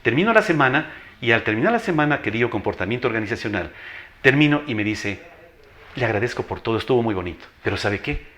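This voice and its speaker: An older man with an Ecuadorian accent speaks as a television interview guest. His voice is strong, like an announcer's, at a medium pitch. He tells anecdotes emphatically, repeating phrases and acting out the dialogue. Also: animated, quick pace, a bit bright. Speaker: interview guest, veteran teacher.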